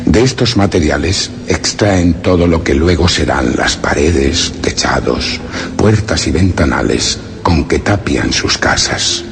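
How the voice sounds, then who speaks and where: Very deep-voiced, grave explorer. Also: male voice, expressive, a little slow, creaky, music in the background, heavily acted. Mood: observant, grave. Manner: grave, formal, literary delivery. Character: anguished male explorer hiding among a foreign people, solemn and secretive. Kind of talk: radio drama first-person narration of suspense and horror.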